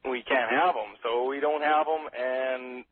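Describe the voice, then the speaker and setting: A man's voice: medium pitch, fairly even intonation, unhurried, breathy edge, dry recording. A Canadian man calling a radio show by telephone, rambling casually in a nervous, hesitant, folksy and jokey way. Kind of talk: casual, rambling call-in chat. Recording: thin narrow telephone line.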